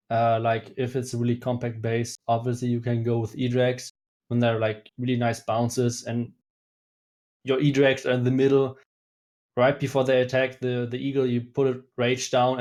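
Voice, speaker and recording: A man with a German accent, a native German speaker, explaining his choices in a calm, measured, step-by-step way in casual chat. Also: mid-range voice. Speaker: guest player.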